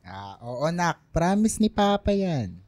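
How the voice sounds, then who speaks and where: A man's voice: on the high side, very animated, slow pace, darkish tone, dry recording. A man playing a cheerful, doting working-class father in a radio drama, affectionate, joking and a little wistful.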